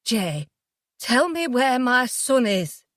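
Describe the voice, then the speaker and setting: A desperate young mother, voiced by a woman reading aloud, shrieks and presses her demands. Her pitch jumps high and swings widely. The sound is close and dry. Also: expressive, a little slow, fairly bright tone, no echo, heavily acted. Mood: insistent. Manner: screaming demands.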